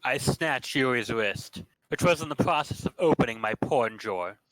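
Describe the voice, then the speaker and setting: A man's voice: medium pitch, lively intonation, moderate pace, a bit bright, dry recording, rough recording. A man reading first-person narration aloud in a casual staged reading, in a playful way. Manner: playful, dropping jokey asides.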